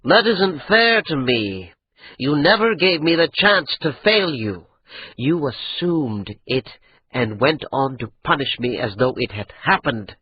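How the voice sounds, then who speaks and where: A man's voice: on the high side, very animated, slight background noise, voice pushed up. A man doing a resentful young nobleman. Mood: aggrieved. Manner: slow bitter drawl. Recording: dull lo-fi recording.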